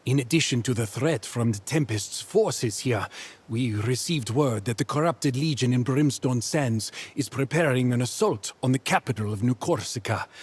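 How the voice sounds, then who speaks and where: An actor playing an earnest commander giving a grave briefing in a game. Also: male voice, medium pitch, a little mellow, breathy edge, natural voice. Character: male commander, urgent and grave. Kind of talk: video game cutscene acting.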